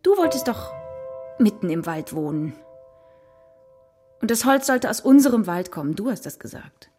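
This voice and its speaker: A woman's voice: mid-range voice, big pitch swings, moderate pace, over music, natural voice. An actress playing an evasive, guilty mother in a children's radio play, speaking hesitantly and coaxingly. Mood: reproachful, firm. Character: evasive, guilty mother confessing to her husband.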